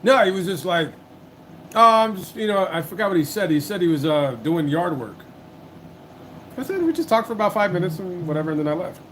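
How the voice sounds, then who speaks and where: A man chatting loosely on his own livestream, voice sitting a little high for a man. His talk rambles and circles back, full of restarts and curse words. Faint noise hums underneath. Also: big pitch swings, a little fast, rough recording. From United States. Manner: rambling, swears a lot, many restarts. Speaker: livestream host. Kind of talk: casual livestream chat.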